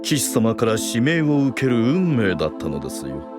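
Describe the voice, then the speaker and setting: A man's voice: mid-range voice, sing-song, normal speed, over music, natural voice. A man playing a solemn, guarded village elder and strict mentor in a fantasy voice drama, with a grave, formal, polite manner. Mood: calm, assuring.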